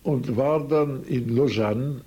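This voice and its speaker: An older Swiss man whose first language is French tells his life story to an interviewer. His voice is dark and husky, and he rambles through anecdotes with many hesitations and restarts. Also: mid-range voice, slow. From Switzerland. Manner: rambling, anecdotal, frequent hesitations and self-corrections. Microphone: recorded on tape.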